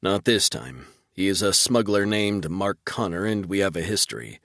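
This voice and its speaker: A man's voice: deep voice, lively intonation, normal speed, gravelly, a bit bright, no echo, clean studio sound, full character voice. A man voicing a wry, weary male space fleet officer in a sci-fi audiobook. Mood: grim, wry. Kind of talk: audiobook character dialogue.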